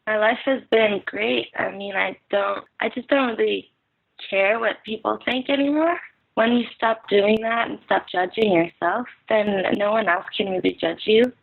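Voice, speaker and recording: Young Filipino-born woman, podcast guest, hesitant and halting, phone-quality audio. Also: mid-range voice, creaky. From Philippines. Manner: soft-spoken, hesitant with many fillers and restarts. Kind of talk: casual interview answers. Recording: tinny phone-line sound.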